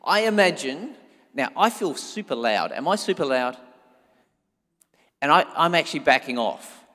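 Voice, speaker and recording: A man's voice: fairly high pitch, animated, normal speed. Aussie guy pastor, big strong voice, chatty sermon, lots of hall reverb. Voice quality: loud, strong voice. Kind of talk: sermon, informal and conversational. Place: church hall, amplified by sound system. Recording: echoey hall with long reverb.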